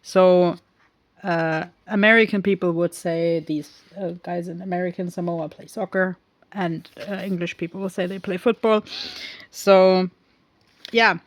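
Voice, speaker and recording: Woman, film recap, slow-paced, rambling, hesitant, lots of fillers, second-language English, dry close sound. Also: lowish voice, steady tone, dry recording. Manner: rambling, goes off on tangents; hesitant, many pauses and fillers. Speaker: film reviewer. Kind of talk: casual film recap with tarot cards.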